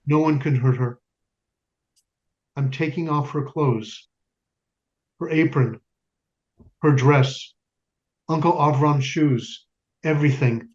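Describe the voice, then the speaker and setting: A man acts out a bereaved wartime father on stage, solemn and deliberate, his voice a little high for a man. He phrases heavily and pauses often, tender yet stern. The recording is slightly muffled. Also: a little mellow. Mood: grim, resolute. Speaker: stage actor in community theater. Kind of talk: dramatic stage monologue, solemn narration. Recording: dull lo-fi recording, music underneath.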